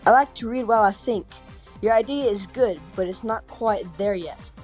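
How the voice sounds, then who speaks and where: A woman's voice: on the high side, normal speed, darkish tone, soft background music, close to an everyday voice. A woman voicing a thoughtful, critical, bookish animal strategist in an amateur comic dub. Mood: considered, critical.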